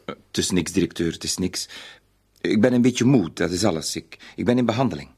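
Husky, hesitant bank clerk. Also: male voice, deep voice, fairly quick, darkish tone. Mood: meek, evasive. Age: middle-aged. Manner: hesitant, stammering, pleading. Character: anxious, cornered married bank clerk being blackmailed. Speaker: bank employee in a radio play. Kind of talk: Dutch-language radio drama acting.

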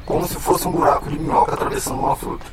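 A man playing a patient scientist with a synthetic voice in an educational radio drama, explaining in a didactic way. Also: racing. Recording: very noisy, distant recording.

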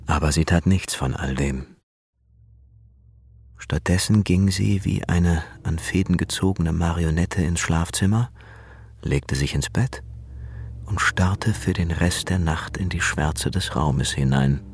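Deep, gravelly male radio drama narrator. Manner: measured, suspenseful storytelling.

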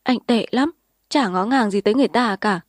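A woman's voice: a little high, normal speed, a little mellow, no echo, clean studio sound, pitched above the performer's own voice, close to an everyday voice. A woman voicing a tearful young village woman. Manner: emotional, tearful.

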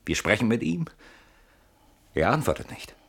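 An actor playing an anxious, defensive husband in a classic German radio play, agitated, stubborn and sardonic, with dramatic pauses and repeated words. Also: male voice, fairly low pitch, sing-song, fairly bright tone, slightly rough, heavily acted. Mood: agitated, insistent. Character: anxious, defensive husband barricaded behind his door.

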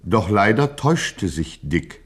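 An actor playing a grandiloquent narrator of a gangster satire, speaking in a pompous, mock-solemn way. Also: male voice, lowish voice, very animated, slow, slightly rough, exaggerated voice. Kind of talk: scripted satirical radio narration.

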